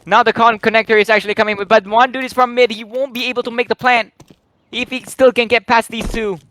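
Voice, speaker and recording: A man with a slightly croaky voice, calling live game action in a rambling, excitable play-by-play. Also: very high voice, fast talker, a little hiss or hum. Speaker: esports caster.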